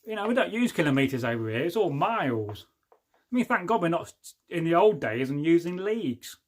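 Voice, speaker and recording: A man in his forties with a voice a little higher than most men's. He hosts a relaxed livestream, chatting in a rambling, jokey way and often losing his thread as he reads out viewers' comments. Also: moderate pace. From England. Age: 40s. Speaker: livestream host. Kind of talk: casual livestream chat reading viewer comments.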